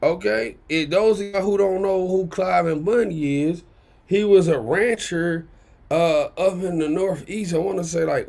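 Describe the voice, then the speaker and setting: A man with a raspy baritone ranting in casual commentary, blunt and profane, driving points home with repeated "okay?". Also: darkish tone. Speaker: commentary channel host.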